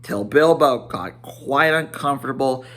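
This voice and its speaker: A man narrating a fantasy tale as a dramatic amateur reading, halting now and then to repeat or correct a phrase. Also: medium pitch, a bit bright. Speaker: amateur storybook reader.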